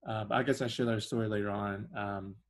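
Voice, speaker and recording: A young man speaks in a soft, rambling way as a podcast guest. His voice sits low, and he often restarts his sentences. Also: fairly even intonation, a little fast. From China. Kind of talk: casual interview.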